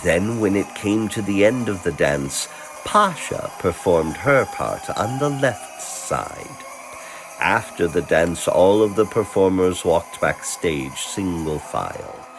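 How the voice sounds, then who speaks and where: A man reading a scripted story as narrator, theatrical and deliberate, with dramatic pauses between phrases. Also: sits low, expressive. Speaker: narrator, voice performer.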